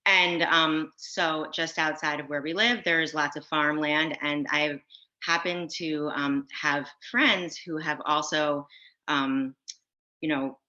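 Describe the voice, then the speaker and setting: A woman on a video-call panel, answering in a halting, casual way with many restarts and fillers. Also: deep voice, fairly even intonation, pushed and bright. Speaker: brand founder on a panel. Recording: dull lo-fi audio.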